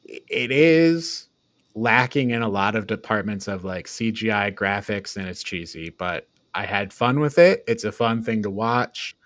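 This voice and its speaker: A man's voice: on the low side, lively intonation, measured pace, a bit bright, no echo. Man hosting a podcast, close dry sound. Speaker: podcast host. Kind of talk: casual film review chat.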